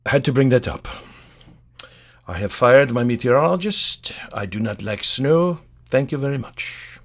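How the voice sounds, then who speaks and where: A man's voice: mid-range voice, expressive, normal speed. Guy doing a haughty french emperor, theatrical comedy bit, french accent, voice jumping all over. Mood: imperious. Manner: pompous, imperious, theatrical. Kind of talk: improvised comedy podcast sketch.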